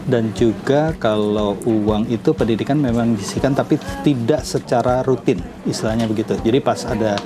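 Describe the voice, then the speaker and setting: A man's voice: medium pitch, normal speed, few pauses, over music. A man in his fifties giving casual interview answers, repeating phrases as he gathers his thoughts. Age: 50s. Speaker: interviewee, father of two.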